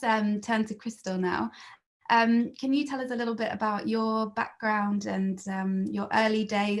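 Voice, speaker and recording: Gravelly-voiced woman hosting an interview. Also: mid-range voice. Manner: hesitant, many fillers. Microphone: video call.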